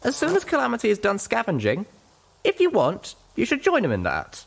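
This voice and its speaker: A man's voice: wildly swooping pitch, brisk, creaky, a little hiss or hum, heavily acted. A man voicing an earnest young female unicorn adventurer, cautious and sincere, in an audiobook. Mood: resolute. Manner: cautious, earnest, high-voiced.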